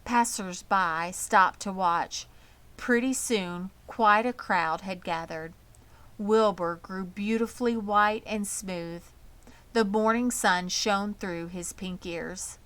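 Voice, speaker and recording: A woman's voice: mid-range voice, slow pace, fairly bright tone. A woman narrating a children's novel aloud as an audiobook reader. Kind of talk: scripted children's story narration.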